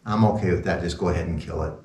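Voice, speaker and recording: A man's voice: low voice, rapid-fire, creaky, darkish tone, a little hiss or hum. A man in his sixties, a pastor, giving an informal, rambling sermon talk with sarcastic asides. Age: 60s. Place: small wood-paneled room indoors. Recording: roomy echo, distant from the mic.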